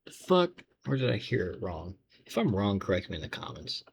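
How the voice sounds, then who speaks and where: A man's voice: deep voice, big pitch swings, a little fast, gravelly, slight background noise. A man giving casual, sarcastic, exasperated reaction commentary on horror videos, swearing freely.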